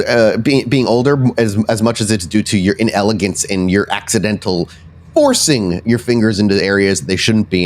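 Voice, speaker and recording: Man, lowish pitch, game master, descriptive improvised narration, conversational delivery. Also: a little mellow, slight background noise.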